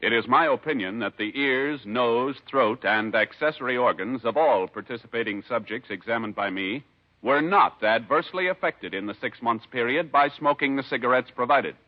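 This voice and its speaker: Male 1950s radio announcer. Medium pitch, declamatory, measured phrasing, dull old recording.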